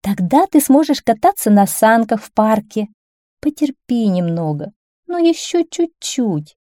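A woman's voice: fairly high pitch, expressive, moderate pace, mellow, dry recording, voice pushed up. A storybook queen and worried mother, voiced by a woman narrator. She speaks in a raised, emotional voice with emphatic phrasing. The recording is very dry. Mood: soothing. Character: anxious, protective queen and mother, sharp-tongued.

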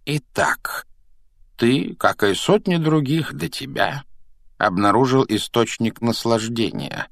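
Storybook role: wise elderly male headmaster, acted by a man, gentle, measured, very unhurried, close dry sound. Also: mid-range voice, expressive, vocal fry, no room sound, pitched above the performer's own voice, exaggerated voice. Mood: gentle, knowing. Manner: slow, measured, knowing.

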